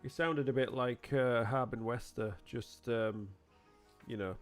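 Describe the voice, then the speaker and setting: A man's voice: medium pitch, fairly even intonation, measured pace, soft background music. A man with a British accent, casually bantering as game master in a teasing, sarcastic way.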